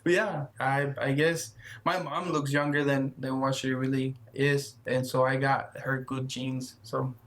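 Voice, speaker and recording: A man in his thirties chats casually as an interview guest. He talks in halting bursts, repeats his words, and laughs a little shyly. His voice sits at a middling pitch. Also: flat delivery, fairly bright tone. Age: 30s. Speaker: guest runner.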